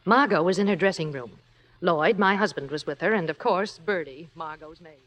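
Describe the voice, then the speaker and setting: An actress playing a sensible, refined playwright's wife in a 1950s radio drama, with polished theatrical delivery. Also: female voice, fairly low pitch, moderate pace, lo-fi sound, lightly acted. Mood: reflective. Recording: slightly noisy old radio recording.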